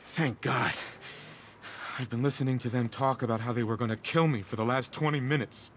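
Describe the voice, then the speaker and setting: An actor playing a shaken, loyal firefighter in a video game, speaking earnestly. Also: male voice, medium pitch, steady tone, a little fast, fairly bright tone, slight background noise, natural voice. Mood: relieved. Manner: earnest, relieved, worried. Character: shaken, loyal male firefighter rescued from captors.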